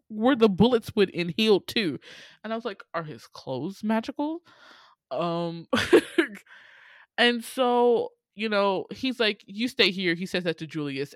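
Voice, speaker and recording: An American woman co-hosting a casual movie recap, telling the story in an animated, slangy, profane way and laughing often. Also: medium pitch, no room sound, studio quality. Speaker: podcast co-host recapping a movie. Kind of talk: casual comedic storytelling chat.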